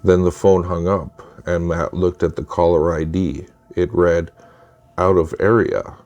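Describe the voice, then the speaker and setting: A man with a very low voice narrates creepy tales from a script. His tone is dark and soft-edged, and he delivers each line in a measured, deliberate way, as a storyteller would. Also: flat delivery, highs cut off. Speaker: narrator of true scary stories.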